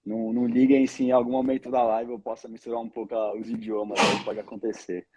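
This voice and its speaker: A man, chatting as an interview guest in a halting way, often checking for agreement. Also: mid-range voice, level tone, a crowd in the background. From born in Argentina, raised in Brazil from age two. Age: 20s. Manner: halting, seeks agreement with tag questions. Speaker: guest, family air-curtain business representative. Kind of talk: casual interview talk. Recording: dull, lo-fi online call audio.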